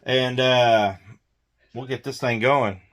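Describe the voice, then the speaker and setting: A man's voice: mid-range voice, fairly even intonation, takes it slowly. Casual man thinking aloud while he works. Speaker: host of a radio repair vlog. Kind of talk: casual hands-on demonstration, talking while working.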